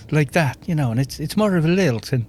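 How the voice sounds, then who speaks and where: A man's voice: a little high, animated, moderate pace, darkish tone. Chatty North Welsh man streaming. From North Wales. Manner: chatty, rambling, chuckles often, reads chat aloud. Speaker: streamer leading a game group. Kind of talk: casual live-stream chat while gaming. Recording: faint background music.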